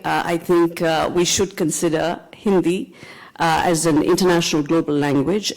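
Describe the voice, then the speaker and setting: North indian woman on a panel, gravelly low-ish voice, lots of uhs, careful and steady. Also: rough recording. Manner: measured, hesitant with many fillers. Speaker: panelist, attorney. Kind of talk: panel discussion remarks.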